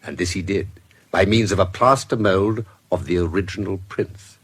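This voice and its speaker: A man with a British accent playing a shrewd, composed investigator in a radio drama, recounting a case in formal, measured, precise language. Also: deep voice, breathy edge. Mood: assured, explanatory. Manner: formal, measured, deliberate storytelling.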